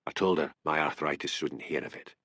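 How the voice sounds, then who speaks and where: A man voicing a sly, cunning old Highland seer, needling and teasing in an audiobook story. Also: a little fast, bright, forceful tone, slightly rough, dry recording, clean studio sound, full character voice. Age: older.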